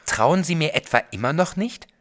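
A man's voice: on the high side, expressive, moderate pace, close to an everyday voice. An actor playing an anxious, insistent wealthy man in a German audio drama, pleading his case.

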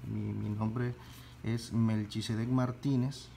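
A man with a Spanish accent, Spanish his first language, teaching an electrical calculation slowly step by step, halting and restarting phrases as he searches for English words. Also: on the low side, little pitch movement, creaky, dark tone, a little hiss or hum. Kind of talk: slow step-by-step tutorial.

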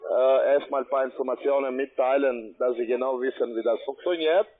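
A man with a foreign accent in German, giving an insistent, formal sales pitch as an account manager. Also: fairly even intonation, brisk. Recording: tinny, narrow phone line.

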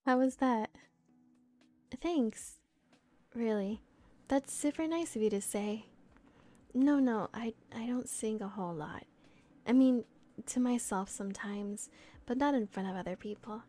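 A young woman playing a friendly, bashful, teasing older sister in a gentle, chatty ASMR roleplay. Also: on the high side, measured pace, pauses often, lightly acted. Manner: gentle, giggly, bilingual English and Japanese. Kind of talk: soft ASMR roleplay, casual chat.

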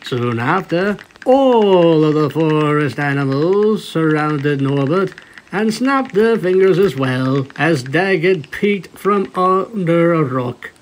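A man's voice: a little high, animated, slow pace, slight background noise, poor audio. A man reading a story aloud as a scripted narration. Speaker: fanfiction reader, narrator.

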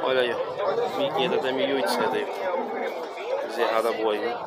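A man's voice: mid-range voice, fairly even intonation, quick pace. A man from Northeast Brazil filming an outdoor cattle market on his phone, chatting casually to viewers and repeating prices. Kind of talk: casual walk-through commentary. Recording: loud crowd and outdoor noise.

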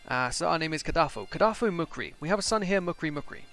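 A young man chatting and joking as he plays through a strategy game for his channel, thinking out loud. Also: fairly high pitch, expressive, fast talker, faint music behind. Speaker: gaming channel host. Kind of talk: casual strategy game let's play commentary.

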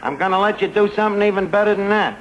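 An actor playing a wisecracking, scheming tavern manager with a slangy New York accent and a sardonic manner, in 1940s radio comedy style. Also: male voice, high-pitched, very fast, a bit bright, highs cut off. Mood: coaxing. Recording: noisy vintage radio broadcast recording.

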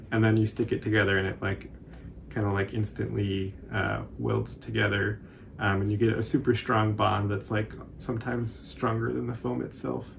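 A man's voice: fairly low pitch, gravelly, slight background noise. A man in his twenties with an American accent, chatting casually through a craft tutorial as host. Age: 20s. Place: small indoor room at home, on a couch.

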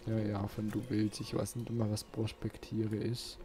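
A man's voice: lowish voice, completely flat delivery, moderate pace, noisy background. A man doing casual let's play commentary, musing aloud and chatting to his viewers. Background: game music.

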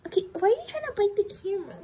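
High-voiced young woman chatting to cats. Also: sing-song, very fast, mellow. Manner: chatty, giggly, coos at her cats in a high baby voice. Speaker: vlogger. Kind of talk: casual barn tour vlog. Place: indoor barn room with cats. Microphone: phone held in hand.

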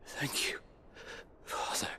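Dying patient, frail and breathy, halting slow speech, faint ambience behind, fantasy game. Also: female voice, sharp tone, slight background noise. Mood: grateful, weak. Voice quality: weak, breathy. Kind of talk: video game cutscene acting.

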